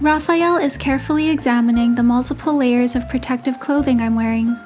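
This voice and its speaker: Woman as high-voiced young heroine narrating. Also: fairly even intonation, fairly quick, darkish tone, faint music behind, close to an everyday voice. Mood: gentle storytelling. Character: young female heroine narrating her romantic trip. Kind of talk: scripted first-person story narration.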